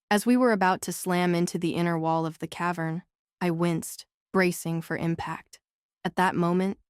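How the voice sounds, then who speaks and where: Smooth, clear woman audiobook narrator. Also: fairly low pitch, a little mellow, no echo, clean studio sound. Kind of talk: scripted first-person novel narration.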